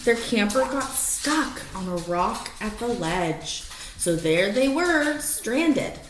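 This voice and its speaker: A woman reading a rhyming picture book aloud to young children as an animated storyteller, stretching key words and adding asides and questions for the listeners. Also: fairly high pitch, slow pace. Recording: roomy echo, faint background noise.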